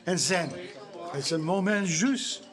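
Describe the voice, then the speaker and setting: High-voiced, pompous Belgian detective. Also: male voice, slow, fairly bright tone. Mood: smug explaining. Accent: French-speaking Belgian, put on. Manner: precise, theatrical, self-satisfied explaining. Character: Belgian detective, courtly, pompous, confident man. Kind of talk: live radio-style mystery drama performance. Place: public library live stage before an audience.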